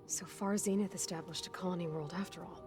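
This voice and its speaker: A woman's voice: fairly low pitch, steady tone, fast talker, natural voice. A woman playing a determined, guarded young heroine in a video game, with a direct, probing manner. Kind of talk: video game dramatic acting. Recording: music behind the voice.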